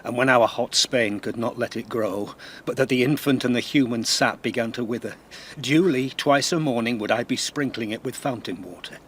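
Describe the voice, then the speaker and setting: A man's voice: mid-range voice, normal speed, a bit bright, close to an everyday voice. A male actor with a British accent playing a grief-maddened, vengeful older Spanish father in a classical radio drama, theatrical and volatile, with faint background noise. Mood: bitter grief.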